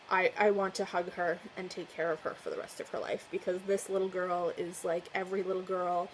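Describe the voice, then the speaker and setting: A woman's voice: mid-range voice, a little hiss or hum, rough recording. A woman book vlogger chatting casually to her viewers, gushing and effusive and laughing often. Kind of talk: casual chat to camera.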